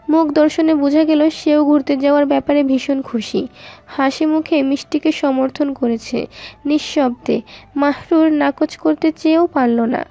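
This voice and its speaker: A woman's voice: sits high, mellow, lo-fi sound. A woman reading scripted story narration.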